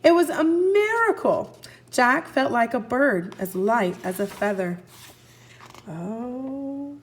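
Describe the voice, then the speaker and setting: A woman teacher reading a story aloud to young children, expressively, pausing to recap and ask the listeners questions. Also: sits high. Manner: expressive, asks listeners questions and answers for them. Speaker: first grade teacher.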